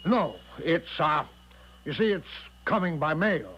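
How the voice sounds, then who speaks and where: A man's voice: fairly high pitch, sing-song, a little slow. An actor playing an eccentric, boastful American major in a 1970s radio drama, coaxing and reassuring with a showman's grand patter. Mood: breezily reassuring. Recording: dull, noisy 1970s radio recording.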